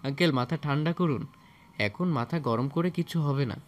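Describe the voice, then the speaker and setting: A man playing a protective young older brother, speaking softly and entreatingly in an audio story. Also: on the high side, moderate pace, natural voice. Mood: pleading.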